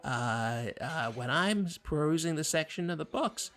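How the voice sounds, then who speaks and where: A man's voice: a little high, animated, unhurried, fairly bright tone. A man playing an earnest, folksy community radio co-host who rambles and stammers through comedy banter. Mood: rambling. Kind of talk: improvised-sounding comedy radio show banter.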